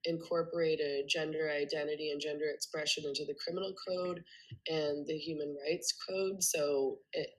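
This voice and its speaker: A woman's voice: sits low, fairly even intonation, normal speed, poor audio. A woman with a cold, sounding congested, explaining her views earnestly at length with frequent ums and restarts as a podcast guest. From Canada. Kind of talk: remote interview conversation.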